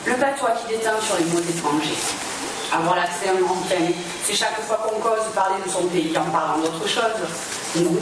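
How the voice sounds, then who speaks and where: A reciter declaims verse theatrically with a Provençal accent. The delivery is emphatic and stagey. It is picked up from far off in a very reverberant hall, with clear background noise. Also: medium pitch, normal speed. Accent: southern French (Provence). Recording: very echoey noisy hall, distant mic.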